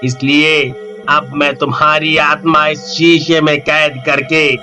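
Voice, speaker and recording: A man's voice: fairly high pitch, flat delivery, slow pace, pushed and bright, faint music behind, heavily acted. A man voicing a vengeful male ghost trapped in a mirror, menacing and gloating, with laughs between his threats.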